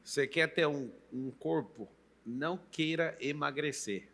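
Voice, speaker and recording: Bright, emphatic Brazilian host in his late thirties. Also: on the high side, measured pace, big-room sound. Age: late 30s. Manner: emphatic, coaching, addresses the viewer directly. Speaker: podcast host and coach. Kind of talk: motivational interview talk.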